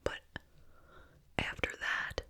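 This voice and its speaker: A person whispering in a breathy, unvoiced voice, chatting casually and without hurry as an ASMR host during a meal. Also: pushed and bright. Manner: casual, unhurried rambling while eating. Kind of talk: whispered ASMR chit-chat mukbang.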